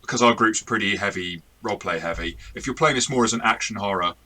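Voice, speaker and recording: A man's voice: lowish voice, fast, bright, forceful tone. A man, the game master and co-host, chatting casually and rambling in a self-correcting way as he reviews a game.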